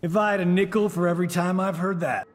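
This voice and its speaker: An actor playing a brash, wisecracking flirt in fighting game banter. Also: male voice, sits high, steady tone, quick pace, close to an everyday voice. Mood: cheeky. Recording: long reverb, faint noise.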